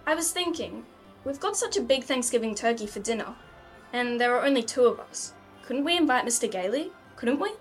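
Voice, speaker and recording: Earnest, literal little girl played by a woman, high voice, old-time radio drama, light music underneath. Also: lively intonation, a little fast. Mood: eager. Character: matter-of-fact, sceptical little girl.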